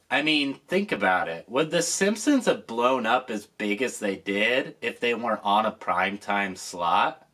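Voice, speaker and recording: A man hosts a nostalgic commentary, speaking slowly and with real enthusiasm. His pitch rises and falls freely as he stresses each point. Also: bright, forceful tone. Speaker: host.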